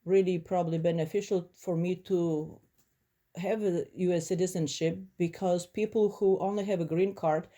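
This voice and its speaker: A Polish-accented woman in her forties answers interview questions in English, her second language. She talks in an earnest, reflective way, with a mellow, warm tone. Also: fairly low pitch, fairly even intonation, normal speed. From Poland. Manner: earnest, reflective, slightly non-native phrasing. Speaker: interviewee, first-generation immigrant.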